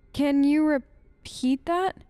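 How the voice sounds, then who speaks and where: Slow-talking, higher-pitched woman gaming, wry and hesitant. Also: mellow. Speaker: let's play host. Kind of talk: role-play gaming commentary, casual.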